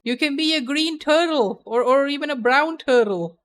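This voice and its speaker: A woman's voice: sits high, normal speed. A young adult woman chatting casually on a stream, playful and opinionated, laughing often.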